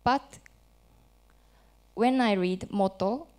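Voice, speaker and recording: Slow, clear-voiced Japanese woman presenting. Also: mid-range voice, darkish tone. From Japan, Hokkaido. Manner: halting, simple non-native English with small restarts. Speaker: conference presenter. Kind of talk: academic presentation with light jokes. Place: conference hall.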